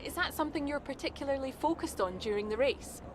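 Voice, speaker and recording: High-voiced trackside reporter. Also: female voice, lively intonation, fast, noisy background. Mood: probing. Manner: professional, probing. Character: polite, probing female trackside TV reporter. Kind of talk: broadcast interview in a video game.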